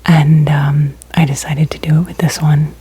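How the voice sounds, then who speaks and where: A woman, talking in soft, breathy whispers with many ums in a soft-spoken ASMR video. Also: deep voice, little pitch movement, normal speed, no room sound. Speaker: ASMR creator.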